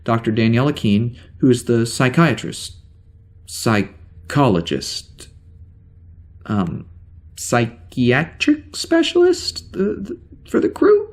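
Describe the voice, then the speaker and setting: An actor playing a bewildered, weary spaceship security officer with amnesia in an audio drama, speaking in a halting, stammering way. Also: male voice, mid-range voice, wildly swooping pitch, darkish tone, exaggerated voice. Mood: hesitant. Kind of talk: audio drama log monologue.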